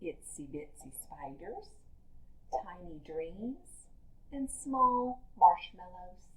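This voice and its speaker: Female primary teacher, slightly low voice, unhurried expressive read-aloud for young children. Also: poor audio. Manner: deliberate, expressive storytelling for children. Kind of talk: picture-book read-aloud with teaching asides.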